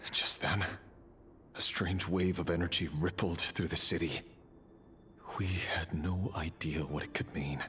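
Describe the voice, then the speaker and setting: An actor playing an earnest, brooding hero in a video game, narrating and speaking with dramatic intensity. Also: male voice, low voice, fairly even intonation, measured pace, sharp tone, a little hiss or hum. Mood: grave, wondering. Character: earnest, brooding male hero recounting a dark night.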